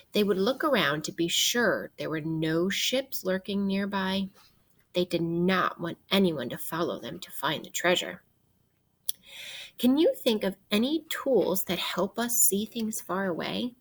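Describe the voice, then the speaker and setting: A woman teacher reading a children's book aloud in an expressive way, guiding young listeners with questions and pauses. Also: mid-range voice, poor audio. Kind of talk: interactive read-aloud of a children's nonfiction book.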